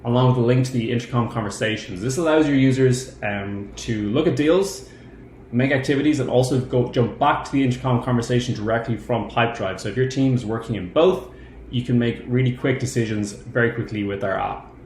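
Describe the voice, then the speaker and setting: A man in his thirties walks through a software product step by step in an explanatory way. His voice sits at a middling pitch. It is recorded at a computer in an echoey room with faint noise behind it. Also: a little fast. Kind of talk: screen-share tutorial walkthrough. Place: indoor room. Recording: roomy echo, faint background noise.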